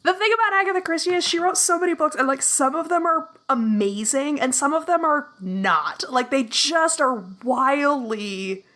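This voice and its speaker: Bright, chatty woman. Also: high-pitched, animated, moderate pace, hardly any pauses. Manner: enthusiastic, lots of "like" fillers and laughs. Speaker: guest. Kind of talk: casual podcast chat.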